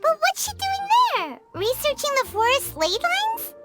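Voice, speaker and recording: Tiny excitable fairy sidekick girl for a game: very high, bouncy and gushing, speaks of herself in the third person. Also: a little slow, music in the background, full character voice. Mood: curious. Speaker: companion character. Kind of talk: game cutscene voice acting.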